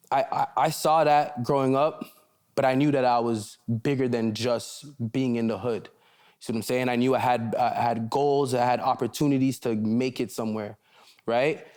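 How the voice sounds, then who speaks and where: Casting: young man from Canada, laid-back rapper, loose conversational talk, mid pitch. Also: darkish tone. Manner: laid-back, loose, repeats "you see what I'm saying". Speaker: rapper guest. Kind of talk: casual interview chat.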